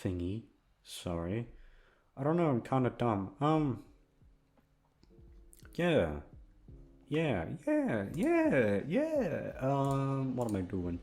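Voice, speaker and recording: A man's voice: mid-range voice, huge pitch swings, drawn-out words, pauses often, a little mellow. A young man rambling in a casual chat, with a goofy, self-deprecating and sweary way of talking. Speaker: audio content creator. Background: background music.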